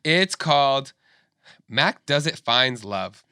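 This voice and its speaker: A man co-hosting a podcast in crude, excitable chat, sniffly, laughing and swearing as he reads fanfic aloud. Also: medium pitch, takes it slowly, clear, resonant tone, no echo, studio quality. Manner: profane, excitable, laughing often. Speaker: podcast co-host.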